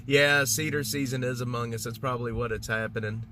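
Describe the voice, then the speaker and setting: A man's voice: mid-range voice, fairly even intonation, a little fast, bright, forceful tone, a little hiss or hum. A man, congested, chatting casually on a livestream in a rambling way with lots of fillers and restarts. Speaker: livestream host. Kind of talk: casual livestream chat with viewers.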